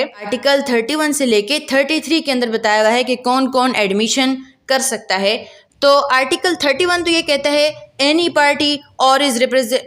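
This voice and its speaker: A woman with a Pakistani accent teaches law in a somewhat high voice. She explains didactically, switching between her own language and English. She often asks a question and then answers it herself. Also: a bit bright. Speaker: law lecturer. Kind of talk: explanatory lecture mixing Urdu and English.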